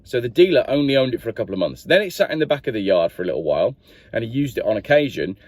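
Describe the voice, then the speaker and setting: Chatty man with lively pitch. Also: racing. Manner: chatty, rambling, asks viewers directly. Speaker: car reviewer. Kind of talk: casual car review vlog.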